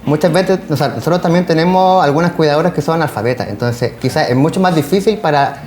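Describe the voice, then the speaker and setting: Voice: medium pitch, fast, darkish tone. A social worker with a Chilean accent, explaining casework conversationally as an interview guest. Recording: roomy echo, faint background noise.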